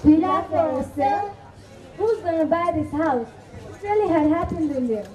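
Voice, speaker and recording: A woman acting a curious, excitable onlooker in the prologue of an amateur stage play. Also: very high-pitched, unhurried, crowd noise, noisy background. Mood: eager curiosity.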